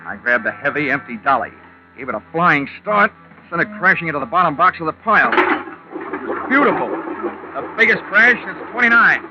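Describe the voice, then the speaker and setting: Wisecracking hard-boiled radio investigator. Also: male voice, on the high side, very animated, moderate pace. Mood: tense narration. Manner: sardonic, wry, clipped. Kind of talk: 1940s-50s radio detective acting, first-person narration. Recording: muffled old-time radio recording with music.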